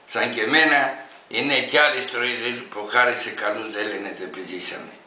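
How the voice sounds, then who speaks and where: A man in his eighties from Greece reading an open letter aloud in an emphatic, declamatory way, with deliberate phrasing. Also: medium pitch, fairly even intonation, sharp tone, reverberant, rough recording. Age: 80s.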